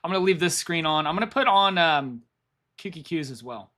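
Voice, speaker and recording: Hoarse male streamer with a slightly high voice, casual and rambling, walking viewers through chart levels. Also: animated, a little fast, pushed and bright. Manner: rambling, repeats himself, thinks aloud. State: losing his voice. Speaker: stock market streamer. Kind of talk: live trading commentary.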